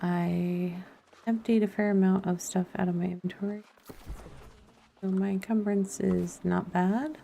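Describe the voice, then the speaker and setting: A woman's voice: medium pitch, fairly even intonation, a little slow, gravelly, a little hiss or hum. A woman game streamer giving casual let's play commentary, thinking aloud to herself. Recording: faint music behind the voice. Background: soft background music.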